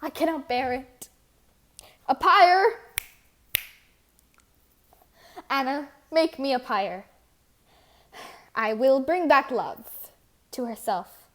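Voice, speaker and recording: A woman's voice: high voice, lively intonation, slow, pauses often, a bit bright, full character voice. A woman playing a proud, scorned queen of antiquity in a declamatory, theatrical reading of verse drama. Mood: bitter sorrow, then feverish resolve.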